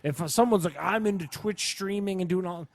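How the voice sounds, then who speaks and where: Male podcast co-host, fairly high voice, dry close sound, slangy and opinionated casual talk. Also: moderate pace, no room sound. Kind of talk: casual chat.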